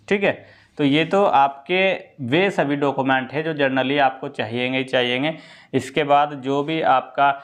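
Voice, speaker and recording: A man explains things informally to students as a coaching presenter, repeating key points and often checking in. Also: mid-range voice.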